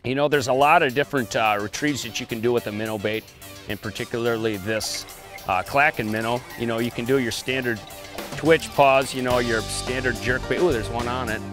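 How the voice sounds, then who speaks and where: A man's voice: mid-range voice, brisk. A man with a folksy, colloquial way of talking, demonstrating and chatting as an angler on a fishing show, outdoors on a boat. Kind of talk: fishing demonstration and casual chat. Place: outdoors on a boat on open lake. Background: music.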